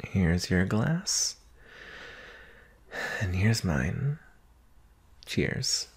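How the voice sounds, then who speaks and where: A man acting a doting, analytical boyfriend in an intimate ASMR roleplay, gentle and musing with long pauses. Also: deep voice, very animated, slightly rough, exaggerated voice. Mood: playful, casual. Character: doting boyfriend, soft-spoken, teasing, analytical former forensics student.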